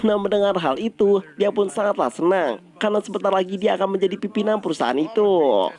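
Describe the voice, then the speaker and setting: A man's voice: high-pitched, expressive, fast talker, darkish tone. A man narrates a film recap in a casual, scripted style, addressing viewers directly in colloquial speech. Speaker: movie recap host.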